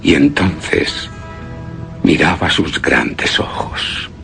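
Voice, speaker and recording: An actor playing a grave, obsessed man who rapturously recalls his beloved in a solemn, savoring radio horror monologue with hushed emphases. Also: rumbling low, extremely slow, gravelly, soft background music, full character voice. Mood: hushed adoration. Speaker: narrator of a horror story. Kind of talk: dramatic radio theatre monologue.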